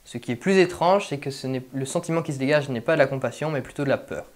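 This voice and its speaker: A man's voice: medium pitch, fast. Young man anchoring a news show. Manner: scripted, formal anchor delivery. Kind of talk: student news bulletin.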